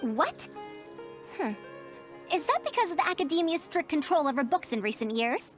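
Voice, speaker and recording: A woman's voice: high-pitched, big pitch swings, normal speed. A woman playing a haughty, old-fashioned scholar in an anime video game, speaking precisely and pedantically. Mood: indignant. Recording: game audio over clear background music.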